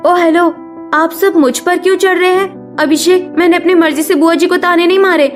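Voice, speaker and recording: A woman's voice: very high-pitched, fairly even intonation, moderate pace, soft background music. A woman playing a spoiled, haughty young daughter-in-law in a cartoon family melodrama, snappish and defensive. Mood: defensive, outraged.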